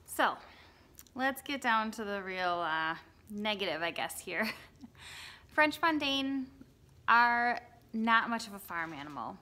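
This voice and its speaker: Woman in her thirties, bright and chatty, casual vlog from a barn coop on a handheld phone. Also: medium pitch, lively intonation, slow pace, long pauses. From Wisconsin, United States. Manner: chatty, lots of ums and likes. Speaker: hobby farmer vlogger. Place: inside a wooden barn pigeon coop. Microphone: phone held at arm's length.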